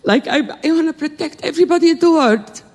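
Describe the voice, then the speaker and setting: A woman's voice: sits high, quick pace, a little mellow. A middle-aged woman from Syria, making an earnest, pleading appeal from the floor of a conference in broken English. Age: middle-aged or older. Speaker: audience speaker. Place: conference hall. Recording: reverberant hall.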